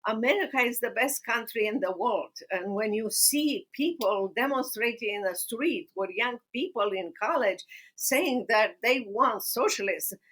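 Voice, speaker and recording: High-voiced woman with a Romanian accent. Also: a bit bright, slightly rough, rough recording. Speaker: podcast host. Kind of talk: podcast interview and personal storytelling.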